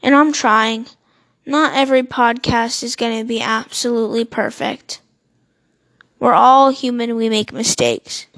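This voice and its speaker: A woman's voice: fairly high pitch, unhurried, fairly bright tone. A young woman in her teens hosting a solo podcast, talking earnestly and rambling, stumbling over words and swearing in self-mocking asides. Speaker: podcast host. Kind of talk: solo motivational podcast monologue.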